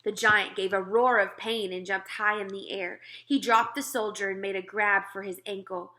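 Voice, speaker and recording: A woman with a bright, forceful voice of middling pitch reads a children's story aloud. Her narration is expressive and dramatic, leaning deliberately on key words.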